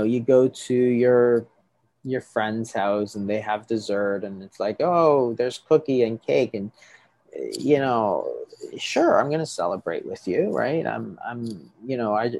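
A man's voice: mid-range voice, takes it slowly, vocal fry, darkish tone, no room sound. A middle-aged man, a doctor, explaining things to an interviewer, often restarting and checking with "right?". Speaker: doctor treating patients.

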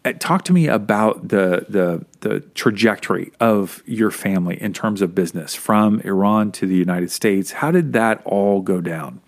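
A man with a somewhat low voice interviews his guest on a podcast. He opens the show and asks questions in an upbeat, encouraging tone. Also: lively intonation, normal speed, gravelly, hardly any pauses.

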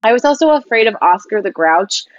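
A woman's voice: mid-range voice, lively intonation, brisk, no echo, lo-fi sound. A woman chatting casually as a podcast co-host. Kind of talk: casual group chat.

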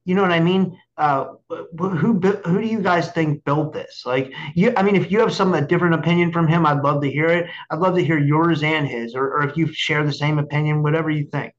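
A man hosting a casual interview over a video call, excitable and rambling, often repeating himself, with dull lo-fi audio. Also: a little high, fairly quick, few pauses. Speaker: interview host. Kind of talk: casual chat.

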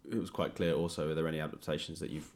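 Very low-voiced, tentative male host. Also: little pitch movement, very fast, a little mellow. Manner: tentative, hedging, thoughtful phrasing. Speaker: podcast host. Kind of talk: conversational interview. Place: indoors at a home.

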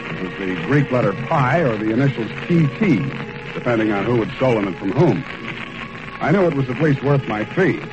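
An actor playing a wry, deadpan American private detective, narrating and talking in a 1940s radio drama. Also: male voice, mid-range voice, close to an everyday voice. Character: wry, hard-boiled male private detective. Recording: muffled old radio recording.

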